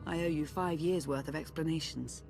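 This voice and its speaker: An actress playing a composed, analytical female strategist in a video game, formal and measured as she explains. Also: low voice, vocal fry, darkish tone, faint music behind. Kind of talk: dramatic video game acting.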